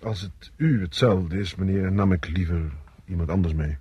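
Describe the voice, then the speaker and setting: An actor playing a nervous, insecure army lieutenant in a radio play, hesitant and stammering. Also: male voice, low-pitched, moderate pace, warm tone, slightly rough, no room sound. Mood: uneasy, deferential. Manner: hesitant, stammering, deferential to superiors. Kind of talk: Dutch radio play acting. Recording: noisy old radio play recording.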